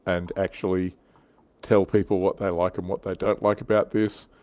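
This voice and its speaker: A man's voice: deep voice, level tone, normal speed, darkish tone, no echo. A man hosting a casual hobby livestream panel, thinking aloud in a hesitant, self-deprecating way. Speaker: panel show host.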